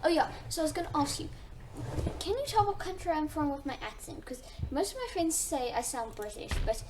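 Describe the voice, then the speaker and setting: A woman's voice: high-pitched, lively intonation, a little fast, background noise. A woman playing a strategy game, thinking aloud and chatting casually to her viewers about her moves. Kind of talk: casual let's-play commentary. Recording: distant mic with loud game music. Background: game music.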